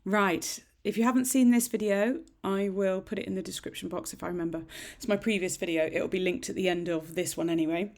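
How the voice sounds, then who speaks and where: A middle-aged woman with a husky, slightly croaky voice, chatting casually through a nail tutorial in a rambling, self-deprecating way. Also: medium pitch, fairly even intonation, a little fast, few pauses, darkish tone. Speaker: nail technician. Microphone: desk microphone.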